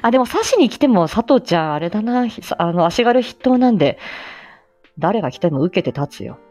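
A woman's voice: medium pitch, sing-song, fairly quick, a little mellow, faint music behind. A woman hosting a casual livestream, talking in a humble, effusive and playfully feisty way. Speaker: livestream host, amateur voice actor.

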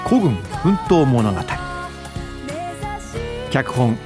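A male announcer reads scripted radio credits. His mid-range voice moves with lively, varied intonation. Music plays clearly behind him. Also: mid-range voice, extremely slow. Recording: studio broadcast over music.

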